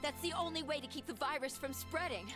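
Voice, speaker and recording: Woman acting desperate young female captive, higher voice, halting dramatic game delivery, heavy music behind. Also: natural voice. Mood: desperate, pleading.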